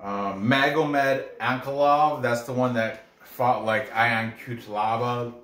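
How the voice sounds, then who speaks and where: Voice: medium pitch, steady tone, slow, a bit bright. A person from New York, born in Korea, giving casual, rambling fight betting commentary and often interrupting themselves. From born in Korea, grew up in New York. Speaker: host giving betting picks. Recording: echoey room with noise.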